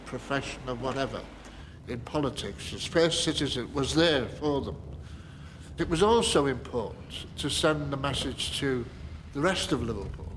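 A man gives a documentary interview in measured, formal phrasing. His voice sits at a middle pitch in a reverberant space, with faint noise behind it.

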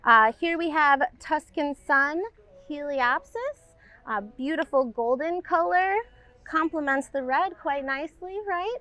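High-voiced upbeat woman outdoors. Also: a little slow, pushed and bright. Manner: chatty, upbeat, frequent "um" fillers. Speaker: garden center staff presenter. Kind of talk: casual plant walkthrough. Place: outdoor garden center on a sunny day.

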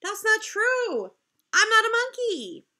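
A woman puts on a flustered grown-up reader from a children's storybook, theatrical and full of protest. The voice is very high and bright, and it drags words out with exaggerated exasperation. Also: big pitch swings, slow, heavily acted. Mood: indignant disbelief. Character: exasperated, dramatic grown-up forced to read silly words aloud. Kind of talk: animated children's picture book read-aloud.

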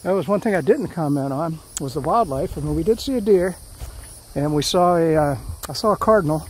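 A man's voice: fairly high pitch, expressive, fairly quick, a little mellow, slight background noise, rough recording. A middle-aged man talks casually while hiking a forest trail, rambling with many fillers and restarts. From Florida. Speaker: hiking vlogger.

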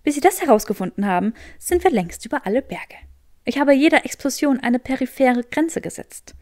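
A woman's voice: high-pitched, sing-song, fairly quick, a little mellow, no room sound, studio quality. A woman voicing a clever, earnest and determined young witch, explaining eagerly in an audiobook. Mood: eager, explanatory.

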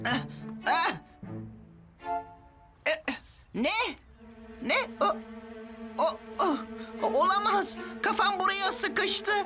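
Slow, whiny little cartoon boy. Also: very high-pitched, full character voice. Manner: whining, drawling. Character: whiny, mischievous little schoolboy. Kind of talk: children's cartoon dubbing.

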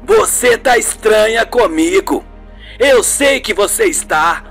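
A wounded young man in a radio soap, put on by a solo performer, needy and suspicious. He pleads at a slow pace over faint music. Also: mid-range voice, lively intonation. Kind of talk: radio soap opera acting. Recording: music behind the voice.

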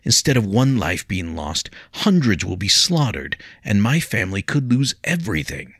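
A brooding young nobleman in a storybook tale, heated and bitter with remorse , as a man acts him out. The voice is very deep and gravelly with a husky edge, close and dry. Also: expressive, normal speed, fairly bright tone, no echo, full character voice.

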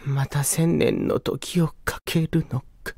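A man's voice: fairly high pitch, level tone, takes it slowly, darkish tone. A man voicing a gloomy, theatrical young demon villain in a video game, brooding and poetic, with grandiose melodrama. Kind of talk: Japanese video game character voice clips.

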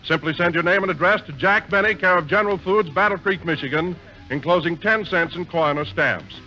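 A hearty male announcer giving a smooth sales pitch on a 1940s radio broadcast. His voice is bright and slightly high, and the dull lo-fi recording has faint music under it. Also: fairly quick. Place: theater stage with live audience.

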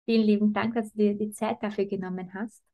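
A young adult woman hosting an interview as a mentor, upbeat and steady as she introduces her guest and asks questions. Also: medium pitch, quick pace. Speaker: host, mentor. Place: bright home office.

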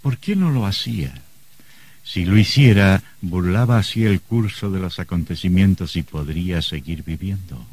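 An actor playing a grave, ominous narrator in a scripted radio horror drama. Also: male voice, sits low, normal speed, a little mellow, breathy edge, no room sound.

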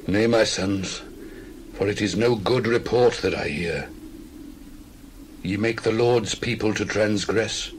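An aged priest in a Bible audio drama. He speaks very slowly and gravely in a low register, reproving his sons with sorrow. There is background noise behind him.

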